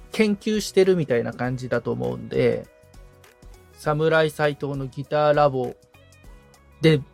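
A man's voice: mid-range voice, vocal fry. A man speaking as a podcast assistant, thinking aloud tentatively in a casual, reflective discussion. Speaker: podcast assistant, guitar repairman being coached.

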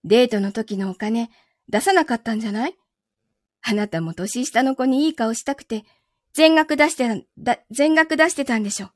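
Woman acting a high, sweetly menacing possessive lover. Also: lively intonation, no room sound, clean studio sound, natural voice. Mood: cold, calm. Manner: soft, gentle, unhurried delivery without shouting. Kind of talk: Japanese voice drama acting.

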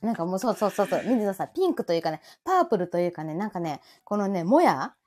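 A woman's voice: medium pitch, sing-song, racing, darkish tone. A middle-aged woman with a Kansai accent chatting casually and playfully as co-host of a live stream, prone to giggling.

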